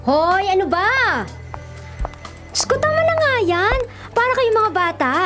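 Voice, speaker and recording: A woman's voice: extremely high pitch, sing-song, unhurried, over music, full character voice. An actress with a Filipino accent playing a feisty, protective young woman who plays matchmaker for her best friend, bossy and spirited, in a radio drama. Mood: scolding.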